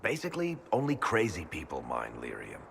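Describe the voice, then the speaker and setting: A man playing a sardonic, world-weary male dwarf rogue in a video game, with dry, expressive delivery. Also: deep voice, a little fast, vocal fry, heavily acted. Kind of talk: video game cutscene acting. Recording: echoey cavern reverb, background noise.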